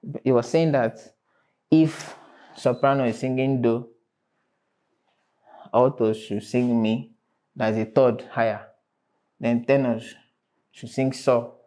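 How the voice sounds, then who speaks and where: A man's voice: medium pitch, dark tone. A man teaching a vocal class, stressing and repeating his points and singing short examples. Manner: emphatic, repeats key points, sings examples. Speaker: vocal coach.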